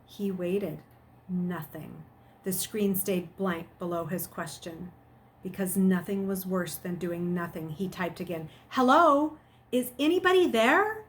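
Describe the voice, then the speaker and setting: A middle-aged woman, a teacher, reading aloud and speaking to her students in an expressive storytelling manner. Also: on the low side, unhurried, slight background noise. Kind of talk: expressive narration of a children's novel and chat to students.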